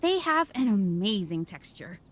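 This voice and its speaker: A woman's voice: mid-range voice, very animated, a little slow, a bit bright, a little hiss or hum. A woman voicing a polite, enthusiastic young waitress in a video game. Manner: polite, service-minded, descriptive. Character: courteous young waitress, enthusiastic about the menu. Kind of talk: video game character acting.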